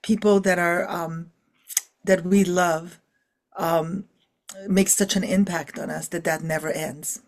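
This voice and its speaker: Brief: middle-aged woman, lowish voice, chatty interview guest, animated and heartfelt. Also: slow pace. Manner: expressive, warm about family, frequent fillers. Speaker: filmmaker and actress being interviewed. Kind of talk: casual interview talk.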